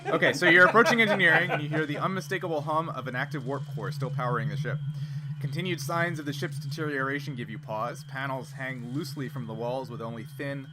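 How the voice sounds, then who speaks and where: A man's voice: mid-range voice, fast talker. A man narrating and refereeing a tabletop role-playing game as game master. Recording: noisy group recording with room ambience.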